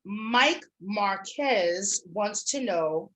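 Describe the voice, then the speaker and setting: A woman's voice: mid-range voice, expressive, extremely slow, a bit bright. A middle-aged woman co-hosting a show, speaking in casual, reflective conversation. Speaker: co-host of an online talk show.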